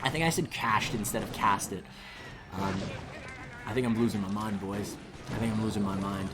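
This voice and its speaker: A man commentating live on an esports match, losing his voice, talking in a rambling, excitable way that climbs to loud peaks on big plays. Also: fairly low pitch, moderate pace, background noise. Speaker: esports caster.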